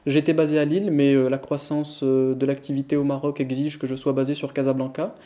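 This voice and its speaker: A man's voice: mid-range voice, little pitch movement, fairly quick, warm tone. A man who grew up in France, answering interview questions as a business manager, hesitant with frequent fillers.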